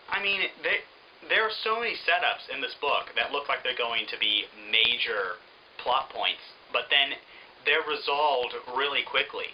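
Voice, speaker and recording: A man giving an opinionated, informal book review in an exasperated, sarcastic, animated manner. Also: medium pitch, takes it slowly, long pauses, slight background noise, low-quality recording.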